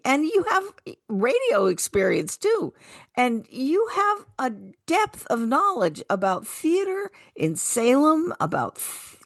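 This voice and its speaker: A woman's voice: high-pitched, no room sound. Older woman, dry close radio sound. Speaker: radio co-host and retired actress. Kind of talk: casual radio talk.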